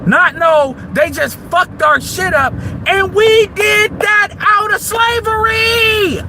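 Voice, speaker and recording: A man, delivering a heated, profane monologue that rises into shouts. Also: very high-pitched, steady tone, slow, background noise. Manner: heated, combative, swearing freely, rising to shouts. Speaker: livestream commentator.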